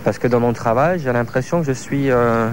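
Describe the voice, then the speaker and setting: A man in his thirties, a choreographer from France, answering interview questions in a halting way, searching for words with many fillers. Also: medium pitch, slight background noise. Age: 30s.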